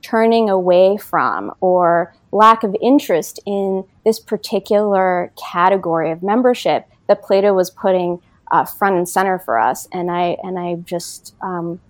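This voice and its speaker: A woman with a fairly low voice speaks as an academic guest on an interview podcast. She explains her ideas thoughtfully, pausing often with ums and you knows. The recording is dry, with no room sound. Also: dry recording. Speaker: author, political science professor.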